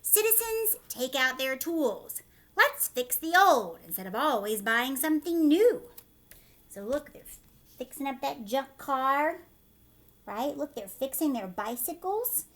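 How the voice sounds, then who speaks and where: Female teacher, higher-pitched voice, children's read-aloud with explanatory asides, instructive and inclusive of young listeners. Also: big pitch swings, measured pace, fairly bright tone. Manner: instructive, checks in with listeners using simple questions. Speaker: teacher reading a picture book aloud to children.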